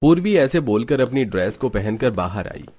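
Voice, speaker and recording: A man's voice: medium pitch, big pitch swings, moderate pace, a little mellow. A man reading scripted narration for a story.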